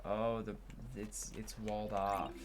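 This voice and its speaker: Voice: medium pitch, flat delivery, a little slow, background noise. A person giving casual gameplay commentary and reading game text aloud. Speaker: let's player. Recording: game music behind the voice. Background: game music.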